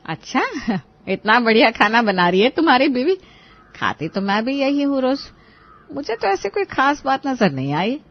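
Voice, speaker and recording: A woman's voice: high voice, very animated, normal speed, highs cut off, voice pushed up, full character voice. A woman voicing a scolding, protective older mother-in-law in a Hindi cartoon, questioning her family sharply. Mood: suspicious scolding.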